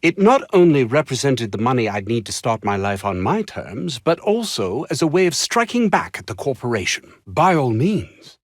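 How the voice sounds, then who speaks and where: An actor playing a haughty, anxious, downtrodden scientist in a video game, husky and speaking in formal, wordy, theatrical phrases. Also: male voice, medium pitch, sing-song, normal speed, few pauses, no echo, clean studio sound. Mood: guarded. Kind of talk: video game character dialogue.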